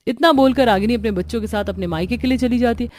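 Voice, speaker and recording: A woman reading scripted narration for an animated family story. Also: a little high, fast, no echo.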